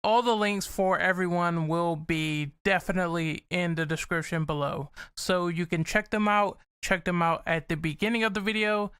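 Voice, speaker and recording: A man hosting a casual podcast discussion, talking in an animated, opinionated and casually profane way. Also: high voice, moderate pace, few pauses, smooth. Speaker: podcast host.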